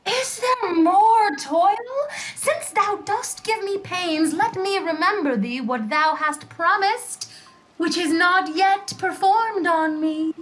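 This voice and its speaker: A woman playing an eager, spirited sprite of the air in a Shakespearean audio drama, speaking verse in a theatrical, deliberate way. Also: very high voice, a bit bright, exaggerated voice, rough recording. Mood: pleading, aggrieved. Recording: remote recording with faint background noise.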